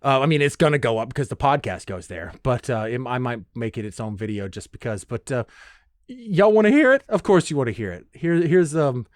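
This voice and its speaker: A man chats alone as a podcast host, mid-pitched and emphatic. He swears freely and talks in an animated, informal way, close on the mic in a dry space. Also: a little fast, dry recording. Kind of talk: casual solo podcast talk.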